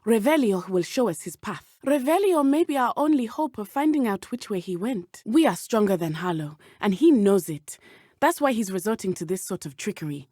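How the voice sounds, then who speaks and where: Brave teen witch girl from a video game, lively pitch, careful formal wording, dry close sound. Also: no echo. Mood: serious, determined. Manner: precise, measured, formal diction. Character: earnest, brave teenage schoolgirl witch from Uganda. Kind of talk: video game character dialogue.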